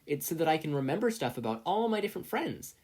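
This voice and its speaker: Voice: mid-range voice, sing-song, quick pace, darkish tone, slightly rough, pitched above the performer's own voice. A reader voicing an innocent, earnest young teenage boy in a story reading. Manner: earnest, pleading.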